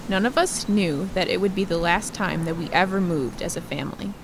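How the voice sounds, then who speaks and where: A woman playing a reflective grown narrator looking back, in measured, scripted radio play narration. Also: lowish voice, noisy background, close to an everyday voice. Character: reflective grown woman recalling haunted childhood.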